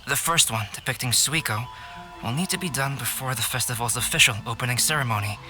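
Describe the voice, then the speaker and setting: Here is a calm young painter from a video game, played by a man. He speaks in a measured, articulate and polite way at a middling pitch. Music plays clearly behind the voice. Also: lightly acted. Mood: calm, explanatory. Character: calm, composed young male painter and scholar. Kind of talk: English game voice acting.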